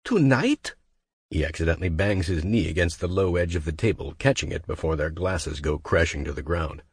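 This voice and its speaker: A man voicing a smug, drunk French billionaire with a thick, smooth, slurring French accent. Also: low-pitched, expressive, normal speed, no echo, full character voice. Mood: put out.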